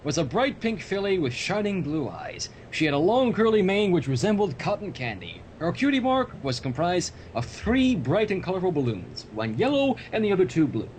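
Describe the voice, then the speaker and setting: Lively, higher-pitched man reading aloud. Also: moderate pace, few pauses. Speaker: livestream host reading fan fiction aloud. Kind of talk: story reading with joking asides. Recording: noisy livestream audio with low rumble.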